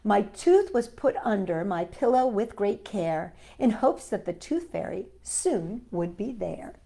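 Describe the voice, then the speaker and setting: A woman hosting a children's storytime, reading aloud and chatting in a playful, expressive way and putting questions to her young listeners. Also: medium pitch, unhurried, creaky. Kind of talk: animated read-aloud and chat for young children.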